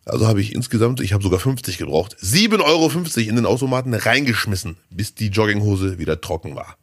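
Man with a scratchy cold-roughened voice. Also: lowish voice, animated, fast talker, no room sound, studio quality. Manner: chatty, storytelling, rambling with fillers. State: slightly hoarse, scratchy voice from a cold. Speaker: podcast co-host. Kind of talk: casual podcast chat.

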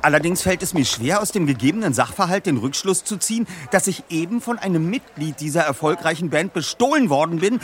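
Brainy teen boy detective, talks all pompous and wordy, highish voice, radio play. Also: expressive, a little fast, hardly any pauses, a bit bright, a little hiss or hum. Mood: pensive, self-important. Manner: stilted, elaborate wording. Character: pompous teenage boy detective, precise and bookish. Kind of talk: German audio drama acting.

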